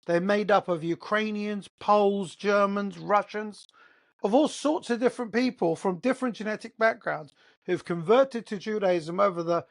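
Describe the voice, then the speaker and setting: A man with an English accent, hosting his own podcast as a solo monologue, talking emphatically and firing off rhetorical questions. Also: high-pitched. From born in Qatar, raised in England. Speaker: podcast host.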